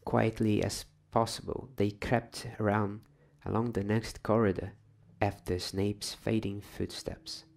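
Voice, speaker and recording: A soft-spoken man reading a novel as an ASMR bedtime story in a hushed, deliberate, dramatised way. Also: on the low side, little pitch movement, gravelly.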